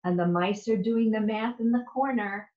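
A woman reading a children's picture book aloud in an expressive, playful and deliberate way. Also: medium pitch. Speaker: storybook reader. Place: indoor room at home. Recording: echoey room, dull lo-fi sound.

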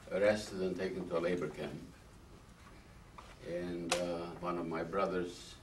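A man in his eighties from Czechoslovakia, speaking slowly with many pauses in a recorded oral history interview in a classroom. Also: sits low. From Carpathian Ruthenia, then Czechoslovakia. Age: older; 80s. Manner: slow and halting, many "uh" fillers. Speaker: interviewee, Holocaust survivor. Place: small classroom, indoors. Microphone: far camera. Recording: roomy echo, distant mic, faint hiss.

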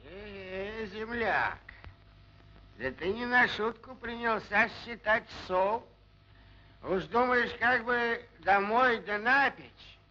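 An actor playing a hearty, boastful Cossack traveler in an old cartoon, with a teasing, folksy, exclamatory delivery. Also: male voice, sits high, lively intonation, slow, pushed and bright, exaggerated voice. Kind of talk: 1940s animated film voice acting. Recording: muffled 1940s film soundtrack.

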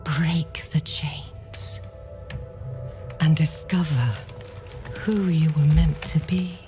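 A woman playing a seductive, commanding demon mother in a video game cutscene, speaking solemnly and often in a hushed whisper. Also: sits low, steady tone. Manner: slow, solemn, hushed, breathy delivery in places. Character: seductive, commanding demon mother preaching freedom through sin. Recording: music behind the voice.

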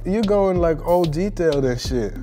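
A man's voice: a little high, lively intonation, normal speed, warm tone, soft background music. A young man in his twenties from Tunisia, raised in London and Paris, teasing his partner and laughing often during a casual couple's quiz game in a studio. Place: indoor pink-walled studio, seated.

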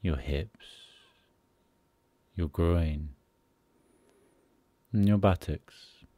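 A man leading a guided sleep meditation in a soothing, unhurried manner, pausing between short phrases. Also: deep voice, mellow, no room sound.

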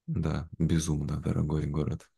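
A young man speaking in a careful, measured way in a simplified conversation for learners, on a video call from home. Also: extremely low pitch, little pitch movement, gravelly, mellow, breathy edge. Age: young adult. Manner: measured, careful, deliberate phrasing. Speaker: guest, language teacher. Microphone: video call, wearing headphones.

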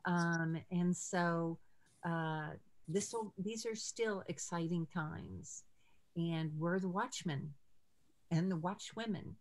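An older woman leading an informal ministry video call, speaking in an encouraging, halting way from a room at home. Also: lowish voice. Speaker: ministry leader hosting a call. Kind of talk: informal prayer-call talk. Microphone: video call computer mic.

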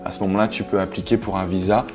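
A man answers questions in a relaxed, conversational interview. His voice sits fairly low, picked up by a lapel mic in a living room, with soft music underneath. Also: fast. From France. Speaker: interview guest, coach and entrepreneur. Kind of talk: casual interview talk. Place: living room, on a sofa indoors. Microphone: clip-on lapel mic. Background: background music.

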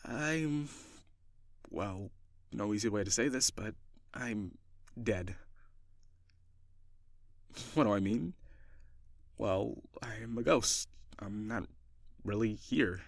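A man playing a friendly, lonely ghost in an improvised audio roleplay, talking gently and hesitantly, as if to one listener. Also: lowish voice, takes it slowly, creaky, long pauses, no echo, clean studio sound. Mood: awkward, evasive. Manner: hesitant, conversational, soft-hearted.

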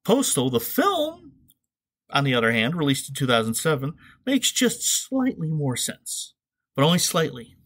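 A man's voice: a little high, wildly swooping pitch, normal speed, fairly bright tone. A man hosting a podcast, giving comedic, opinionated commentary in a sarcastic way with emphatic asides. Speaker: podcast host.

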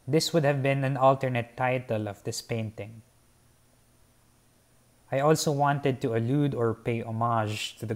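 A young man from the Philippines explaining his own painting in a measured, earnest, formal way, seated on a garden patio. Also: medium pitch. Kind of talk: reflective artist talk. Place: covered patio by a garden, outdoors.

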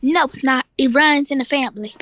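A woman's voice: sits high, brisk, fairly bright tone. A woman voicing an eccentric, groaning old grandmother in an improvised game roleplay. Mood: defensive, feisty. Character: eccentric old grandmother with aches and a creepy doll.

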